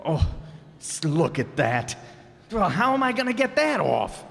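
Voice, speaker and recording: A man acts out a grumpy, put-upon husband in an old radio comedy. His pitch swings widely with sour sarcasm, and the sound rings in a long hall reverb. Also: big pitch swings, unhurried, vocal fry, fairly bright tone, full character voice. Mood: exasperated. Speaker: radio play actor.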